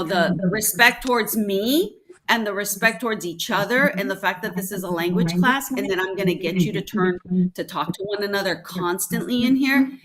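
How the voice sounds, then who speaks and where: Bright-voiced teacher, Spanish native. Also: female voice, mid-range voice. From Mexico. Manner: assertive, storytelling, acts out classroom exchanges. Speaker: high school French teacher, guest. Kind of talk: casual conversational interview.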